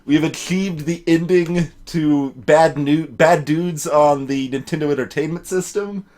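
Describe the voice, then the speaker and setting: A man's voice: fairly high pitch, unhurried, a little mellow. A man hosting a let's play with casual commentary, reading captions aloud and adding wry, rambling asides.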